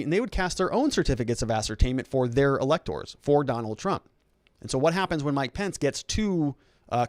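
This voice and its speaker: An American man hosts a conversational political commentary show. He explains step by step and often throws out rhetorical questions. His mid-pitched voice is recorded dry and close, with no room sound. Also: darkish tone, no echo. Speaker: host, legal commentator.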